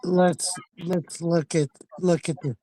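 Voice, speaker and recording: A man in a rambling, casual livestream chat, haltingly repeating words and phrases and swearing casually. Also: a little high, fairly even intonation, dark tone. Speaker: livestream participant reading out chat.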